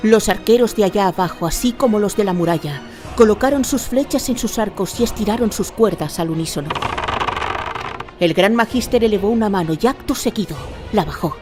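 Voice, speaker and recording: A woman from narrates a fantasy radio drama. Her mid-pitched delivery is scripted and dramatic, with music playing clearly underneath. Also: expressive, a little fast.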